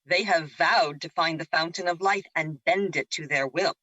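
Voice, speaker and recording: A woman's voice: on the low side, moderate pace, sharp tone, no echo. A woman acting in an audio drama as a teasing, breezy celestial sister, casual and wry, on a slightly dull recording. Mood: casual, gossipy.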